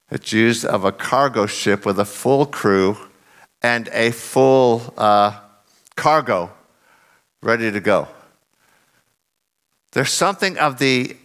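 A middle-aged man preaching a sermon in an earnest way, voice a bit husky and lowered after singing loudly, leaning hard on key phrases.

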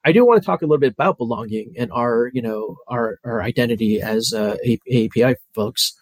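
A man's voice: medium pitch, a little fast, no room sound. A man moderating a panel, asking loose questions full of fillers and offering easy agreement. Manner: easygoing, filler-heavy questions with warm backchannels. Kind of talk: conversational panel discussion.

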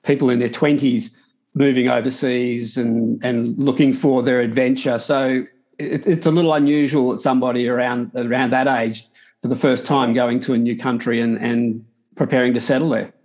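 A man hosting a podcast, asking his guest questions in a friendly, measured way. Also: mid-range voice, steady tone, darkish tone. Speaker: podcast host. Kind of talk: interview hosting.

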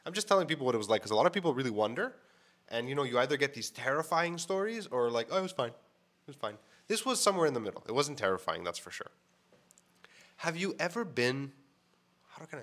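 A man's voice: mid-range voice, big pitch swings, fairly quick, fairly bright tone. A man telling a personal story to camera in a frank, casual way, full of fillers and swearing, sounding a bit congested. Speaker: vlogger. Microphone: mic on camera setup.

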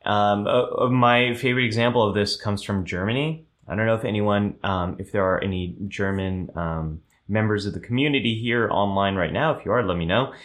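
A man with a slightly low voice hosts a live stream and talks through an idea step by step. He often stops on an um or restarts a sentence, then answers comments from viewers as he goes. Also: fairly quick, few pauses, fairly bright tone. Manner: explanatory, frequent ums and restarts. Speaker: host of a live show.

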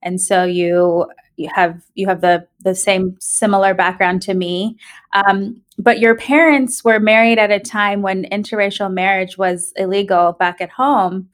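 A woman from South Africa and the United States, hosting a podcast, reading a measured introduction and asking questions. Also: mid-range voice, steady tone, dry recording, studio quality. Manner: measured, with hesitant ums when asking questions. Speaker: podcast host. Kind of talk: scripted introduction and interview questions.

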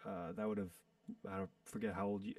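A man's voice: deep voice, little pitch movement, darkish tone, no echo. Forties male guest, rambling storyteller, dry sound from a distance. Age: 40s. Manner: rambling, reminiscing, frequent pauses to recall. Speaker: guest musician and entrepreneur. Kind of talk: casual interview storytelling.

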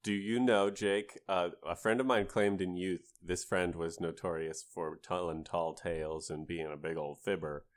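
A man's voice: low-pitched, steady tone, normal speed, few pauses. A man hosting a podcast, telling things in a casual, conversational storytelling way. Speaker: podcast host.

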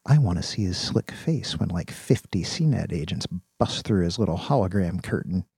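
A man's voice: sits low, lively intonation, normal speed, darkish tone, breathy edge, no echo, heavily acted. A man voicing an eager, naive young male college student in an audiobook, chatting in casual slang. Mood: smug, scheming. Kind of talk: audiobook character dialogue.

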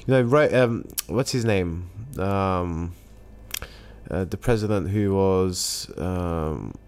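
Halting deep-voiced man from Afghanistan. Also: a little mellow, smooth. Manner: halting, restarts words often. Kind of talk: casual conversation.

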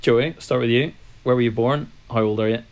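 A man's voice: mid-range voice, steady tone, fairly quick. A man from Ireland, co-hosting a podcast and casually quizzing his co-hosts in a blunt, chatty way. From Northern Ireland. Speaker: podcast co-host. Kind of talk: casual chat, interviewing co-hosts.

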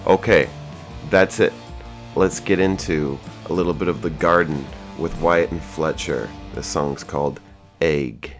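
Very deep-voiced male podcast host. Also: measured pace, soft background music. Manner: relaxed, hesitant with many fillers. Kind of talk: recorded podcast intro.

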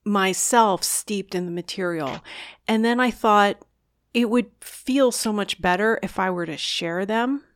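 A woman's voice: mid-range voice, measured pace. A woman hosting a casual conversation, effusive and openly emotional in her praise. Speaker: host.

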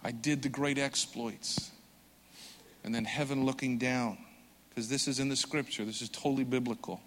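A man preaching a sermon with earnest conviction, swelling loud for emphasis and dropping soft and slow. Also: mid-range voice, fairly even intonation, slight background noise. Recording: long hall reverb.

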